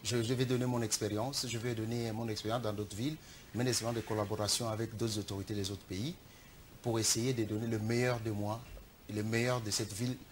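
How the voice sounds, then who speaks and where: A man's voice: lowish voice, level tone, a little fast, a little hiss or hum. A Congolese man with a Congolese French accent, a political candidate answering interview questions earnestly. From Democratic Republic of the Congo. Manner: defensive, earnest, speechmaking. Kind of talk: studio interview.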